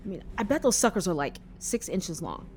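Chatty, paranoid young woman, earnest about odd notions, very lively pitch, audio drama over faint rumbling noise. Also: fairly quick. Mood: earnest, conspiratorial. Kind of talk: audio drama acting, conversational.

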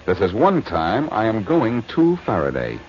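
An actor playing a smooth, wisecracking amateur detective with an American accent in a 1940s-style radio drama, brisk and flirtatious. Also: male voice, on the low side, huge pitch swings. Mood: bantering. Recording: muffled, noisy old radio recording.

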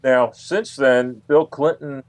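A man explaining things as an interview guest, in a halting way that often repeats words. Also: medium pitch, fairly even intonation, no room sound. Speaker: guest, UFO researcher and author.

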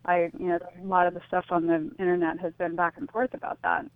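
A woman's voice: fairly low pitch, flat delivery, fast. A woman giving reflective, tentative interview answers as a guest, explaining things through anecdotes and analogies. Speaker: interview guest, author and speaker on suicide loss. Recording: tinny phone line, echoey.

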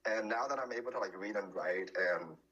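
A man's voice: on the low side, fairly quick, sharp tone, husky, dull audio. A man chatting casually as a podcast guest, often restarting his sentences. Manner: hesitant, many restarts and fillers.

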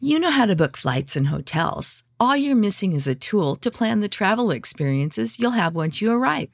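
Woman ad voice, upbeat read, some vocal fry, dry close mic. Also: mid-range voice, sing-song, normal speed, no room sound. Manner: upbeat, smooth sales delivery. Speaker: ad announcer. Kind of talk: scripted ad read.

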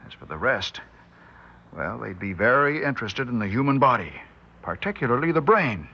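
Husky, intense scientist, dramatic swings. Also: male voice, mid-range voice, sing-song, exaggerated voice. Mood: intense speculation. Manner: deliberate, theatrical, probing. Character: grave, speculating scientist doctor, troubled and intense. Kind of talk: 1960s radio drama acting. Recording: dull vintage 1960s radio broadcast.

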